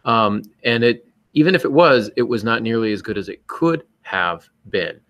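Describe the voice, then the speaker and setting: A man's voice: medium pitch, expressive, moderate pace, fairly bright tone. A man co-hosting a podcast, talking reflectively in an earnest, emphatic, self-critical way. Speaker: podcast co-host and writer.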